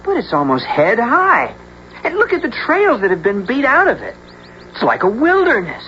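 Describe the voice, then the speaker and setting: An excitable teenage country boy in an old radio adventure. The voice is animated and folksy, bold and protective, on a muffled recording with clear hiss. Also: mid-range voice. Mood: wondering, resolute. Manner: folksy drawn-out rural phrasing. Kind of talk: 1970s radio drama acting and narration.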